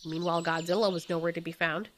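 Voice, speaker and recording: A woman's voice: on the low side, flat delivery, quick pace, a little hiss or hum. A woman narrating a scripted story as its storyteller, in the present tense.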